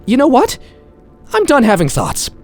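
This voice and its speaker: A man's voice: very high-pitched, wildly swooping pitch, normal speed, a little mellow, faint music behind, pitched above the performer's own voice, exaggerated voice. A man voicing a panicky, foul-mouthed story character who talks exclamatorily to himself. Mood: jittery disgust. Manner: exclamatory self-talk, swearing. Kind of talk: acted story dialogue.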